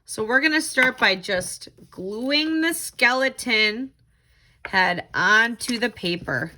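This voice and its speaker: High, animated woman reading to children. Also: slow pace. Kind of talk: expressive read-aloud and craft lesson for children.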